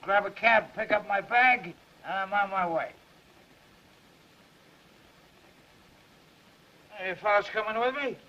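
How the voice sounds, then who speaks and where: Cocky hard-drinking newsman, high voice, wisecracking, muffled vintage movie sound. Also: a little fast, sharp tone. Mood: brisk. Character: brash, cocky, hard-drinking male newspaper reporter. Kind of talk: 1930s film acting. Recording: muffled, hissy 1930s film soundtrack.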